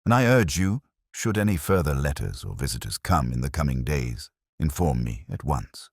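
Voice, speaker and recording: Very deep male voice, husky, Victorian storybook detective, acted by a male reader, terse, formal, probing. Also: very animated, normal speed, no room sound, clean studio sound, full character voice. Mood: probing, intent.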